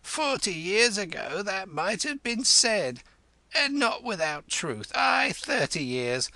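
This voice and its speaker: A man's voice: high voice, big pitch swings, slow, bright, forceful tone, no echo, pitched above the performer's own voice, full character voice. A man playing an imperious old queen. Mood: indignant. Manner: imperious, scolding, cackling. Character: proud old widowed queen, sharp-tongued.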